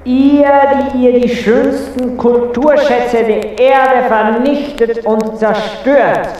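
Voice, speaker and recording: A man's voice: fairly high pitch, very slow, dark tone, distant mic. A man playing a pompous, scornful ruler of the underworld in an amateur 1980 radio play, with heavy reverb added to his voice. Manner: grandiose, solemn, scornful. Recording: echoey 1980 tape, music behind.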